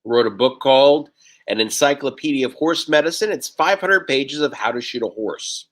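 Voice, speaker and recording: Lively, bright male stand-up comic. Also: mid-range voice, moderate pace. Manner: deadpan one-liners. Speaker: stand-up comedian. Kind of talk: stand-up set.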